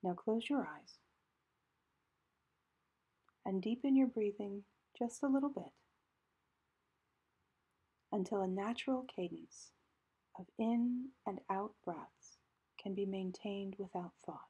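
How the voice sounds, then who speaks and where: Female meditation guide, very breathy and soft, mellow tone, calm measured delivery with pauses. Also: mid-range voice, highs cut off.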